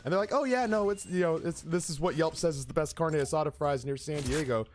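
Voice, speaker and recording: A man streaming games who tells comic stories casually, in an animated, exaggerating and profane way. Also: fairly high pitch, fast, a little hiss or hum. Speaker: game streamer. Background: music in the background.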